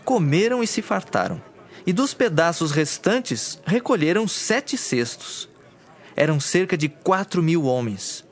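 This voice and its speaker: Slightly high-voiced male Bible narrator. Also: very animated, measured pace. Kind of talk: scripted dramatized Bible narration. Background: music.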